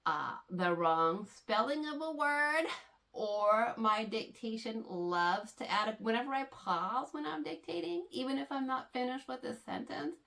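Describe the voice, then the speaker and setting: Chatty woman vlogger, slightly high voice. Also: expressive, a little slow, gravelly, a bit bright. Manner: chatty, explains patiently, frequent fillers. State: somewhat congested from allergies. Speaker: knitting vlogger. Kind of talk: casual talk to camera.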